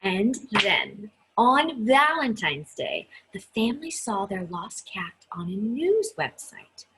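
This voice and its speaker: A woman reading a children's story aloud in an expressive, chatty style, stressing key words with dramatic emphasis. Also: mid-range voice, takes it slowly, noisy background. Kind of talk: expressive read-aloud with chatty asides to listeners.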